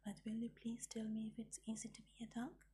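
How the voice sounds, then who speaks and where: A polite, curious little male fox cub, whispered by a woman reading a storybook. The voice is almost all breath and soft and mellow in tone. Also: fairly high pitch, completely flat delivery, a little fast, slightly rough.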